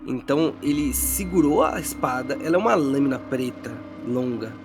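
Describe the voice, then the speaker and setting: Brazilian male game master over clear music. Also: mid-range voice, lively intonation, normal speed. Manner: colloquial, descriptive, checks in with players. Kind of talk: casual tabletop game narration.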